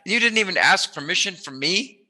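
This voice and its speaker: A man's voice: sits high, expressive, a little fast, sharp tone. A middle-aged man chatting casually as an interviewer, telling memories in a jokey, emphatic way. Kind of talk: casual reminiscing interview chat.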